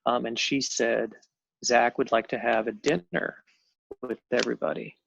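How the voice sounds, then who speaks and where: A man in his fifties telling his own story as a guest, halting with many pauses and fillers. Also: medium pitch, steady tone, gravelly, a bit bright, no echo, dull audio. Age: 50s. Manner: halting, many ums and restarts. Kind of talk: personal storytelling on a panel show.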